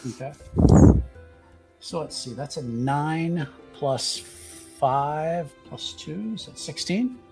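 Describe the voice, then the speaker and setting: Halting, jokey man playing a tabletop game. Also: on the high side, expressive, gravelly, faint music behind, low-quality recording. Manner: halting, thinking aloud, jokey. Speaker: tabletop roleplaying game player. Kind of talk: casual improvised roleplay narration.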